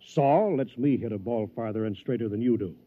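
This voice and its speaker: An actor playing an arrogant, scornful wealthy rival in an old-time radio drama, with a haughty, emphatic delivery. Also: male voice, medium pitch, a little fast, lightly acted. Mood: taunting. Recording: tinny, narrow-band old radio recording.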